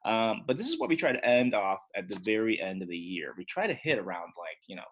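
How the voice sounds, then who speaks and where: A man's voice: fairly low pitch, sing-song, fast, a bit bright, highs cut off. A man teaching young children in a casual read-aloud style, chatty and speaking directly to his pupils. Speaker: elementary school teacher.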